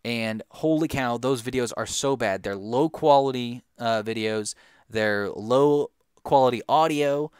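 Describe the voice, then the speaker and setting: A young man chats through viewer questions in a loose, rambling way, stopping and restarting as he goes. His voice is smooth and clear at a middling pitch. The recording is dry and close. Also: unhurried, a little mellow, dry recording. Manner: rambling, many fillers and restarts. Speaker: gaming YouTuber answering viewer questions. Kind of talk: casual Q&A chat.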